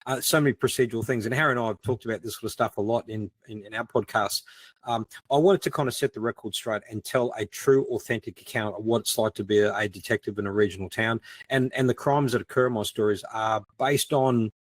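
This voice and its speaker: A man's voice: on the low side, fast, no echo. A middle-aged man with an Australian accent, explaining things conversationally as a podcast guest. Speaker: podcast guest, ex-detective crime writer.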